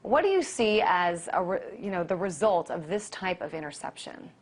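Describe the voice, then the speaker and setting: A woman's voice: fairly low pitch, lively intonation, normal speed, breathy edge. A woman with an American accent, working as a news anchor, presenting reports and putting questions to a guest in broadcast style. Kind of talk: broadcast news delivery and live interviewing.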